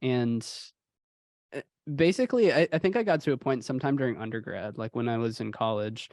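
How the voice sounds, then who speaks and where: A man's voice: medium pitch, brisk, dry recording. Young man from Houston, podcast guest, casual and reflective, dry close mic. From Houston, Texas. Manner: relaxed, uses many fillers like "you know". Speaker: podcast guest, sound engineer.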